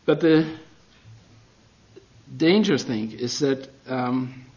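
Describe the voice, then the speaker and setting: A man's voice: medium pitch, lively intonation, takes it slowly, darkish tone. German-accented guy preaching, earnest, kinda dull lo-fi sound. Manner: earnest and self-deprecating, with non-native phrasing. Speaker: guest preacher. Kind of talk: sermon.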